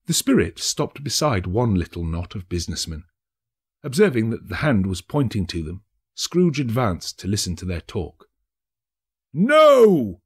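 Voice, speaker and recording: A man narrating a classic ghost story as a dramatic audiobook, theatrical and deliberate. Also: lowish voice, sing-song, breathy edge. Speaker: narrator, actor.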